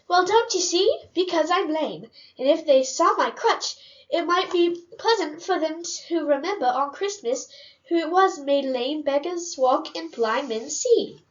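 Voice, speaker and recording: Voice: very high voice, lively intonation, measured pace, lo-fi sound. A performer playing a sweet, pious, frail little boy, earnest and innocent, in an audio drama.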